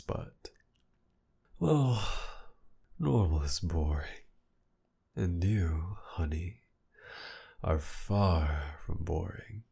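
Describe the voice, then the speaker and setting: Casting: male actor for flirty villain captor, ASMR audio drama. Dark, mellow tone, husky and breathy, possessive, teasing delivery. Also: exaggerated voice. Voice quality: low, gravelly, breathy. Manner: slow teasing drawl, often dropping to a whisper. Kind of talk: intimate ASMR roleplay acting.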